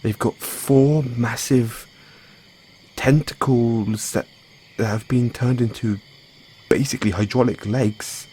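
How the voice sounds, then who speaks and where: A man playing an excitable, anxious and tender mad scientist boyfriend in an intimate audio roleplay, speaking with halting stammers. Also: mid-range voice, slight background noise, natural voice. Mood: uneasy, curious. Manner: halting, stammering, breathy hesitations.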